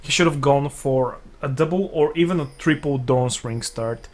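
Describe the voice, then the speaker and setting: A man co-commentating on an esports match in an analytical way, halting and repeating words as he reasons. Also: mid-range voice, slight background noise. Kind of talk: live analytical game commentary.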